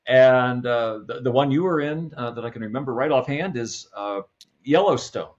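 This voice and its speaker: Male comedy producer as podcast guest, mid-pitched, halting casual talk, slightly dull recording. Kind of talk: casual chat.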